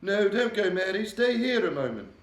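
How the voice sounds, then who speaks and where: A man's voice: high-pitched, sing-song, moderate pace, voice pushed up. A man voicing an elderly, regal queen with a refined, upper-class manner, given to alarmed exclamations. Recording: roomy echo.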